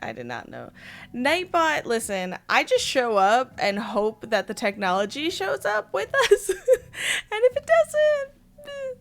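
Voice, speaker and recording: A woman hosting a casual livestream chat, her voice hoarse after losing it, giggling and chatting to her viewers. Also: sits high, wildly swooping pitch, unhurried. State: hoarse, partly lost voice. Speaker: streamer host.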